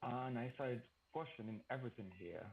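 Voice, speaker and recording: A man's voice: mid-range voice, moderate pace, a little mellow, rough recording. A man from El Salvador, a native Spanish speaker with a British-influenced English accent, chatting casually on a live stream. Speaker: participant joining live stream. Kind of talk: casual chat. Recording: music under the voice. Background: music.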